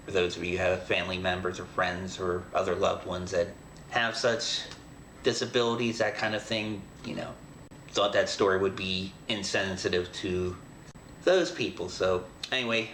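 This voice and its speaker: Low-ish voiced guy host, rambly n halting, roomy echo, faint noise. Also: fairly bright tone. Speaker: host of an audio drama show. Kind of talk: casual unscripted commentary.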